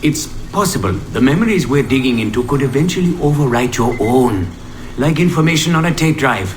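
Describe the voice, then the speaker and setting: A man's voice: mid-range voice, lively intonation, brisk, natural voice. A man playing a cautious, level-headed lab researcher in naturalistic audio drama, with a wry, cautionary way of talking. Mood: firm caution. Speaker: research colleague. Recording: audible background ambient noise.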